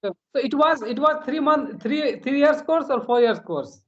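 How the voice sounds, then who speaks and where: A man's voice: very high voice, lively intonation, normal speed, dull audio. A man from India chatting casually in as a practice conversation, hesitant and repeating phrases as he goes. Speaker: host.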